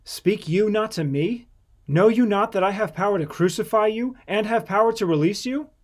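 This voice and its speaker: Troubled but commanding Roman governor acted by a man, declaiming emphatically in a raised, high voice for scripture drama. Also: sing-song, slow, darkish tone, heavily acted. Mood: questioning, stern. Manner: emphatic, declamatory public address.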